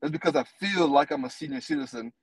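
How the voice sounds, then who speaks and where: A man in his thirties talks in a casual podcast chat, blunt and animated, with plenty of cursing. His voice sits on the higher side for a man. The sound is dry and close to the mic. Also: quick pace, breathy edge, no room sound, rough recording. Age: 30s. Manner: animated, blunt, profane storytelling. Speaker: podcast co-host.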